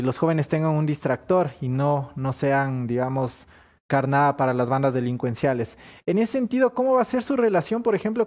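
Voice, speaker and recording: A man's voice: fairly high pitch, darkish tone. A man interviewing a candidate, asking long, formal, polite questions with some hesitation. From Ecuador.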